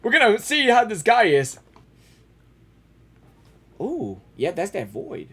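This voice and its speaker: Animated, bright-voiced game streamer. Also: medium pitch, takes it slowly, breathy edge. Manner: excitable, repeats phrases. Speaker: gaming streamer. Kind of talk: casual live game reaction commentary.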